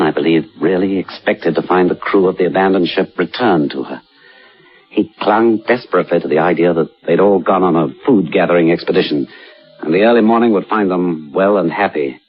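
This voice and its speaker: An actor playing a sober seafaring man in a radio drama, grave and measured as he tells a haunted tale. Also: low voice, slightly rough. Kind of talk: radio drama narration and dialogue. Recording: dull radio recording, music behind.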